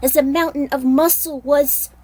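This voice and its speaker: A woman's voice: high voice, fairly even intonation, normal speed, warm tone. A woman reading as author and narrator, in a dramatic storytelling style that loosens into casual asides. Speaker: fan fiction author and narrator.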